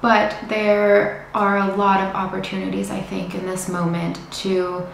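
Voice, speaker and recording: A young American woman talking casually to camera, explaining things in a chatty, informative way with some fillers, in a bright room indoors. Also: medium pitch, monotone, a little slow, creaky. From United States. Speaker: vlogger, psychedelic research student. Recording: very echoey room, faint hiss.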